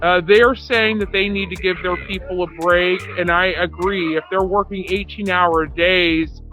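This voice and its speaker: A woman's voice: on the low side, steady tone, measured pace, music in the background, poor audio. A woman giving a rambling, unscripted true crime commentary in a self-assured, boastful, argumentative way.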